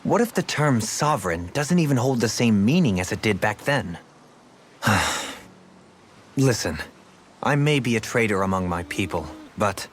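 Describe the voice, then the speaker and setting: An actor playing a thoughtful, inquisitive nobleman in a video game, with measured, formal and eloquent delivery. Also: lowish voice, slight background noise. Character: refined, scholarly, inquisitive male noble, thoughtful defector. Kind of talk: dramatic video game voice acting.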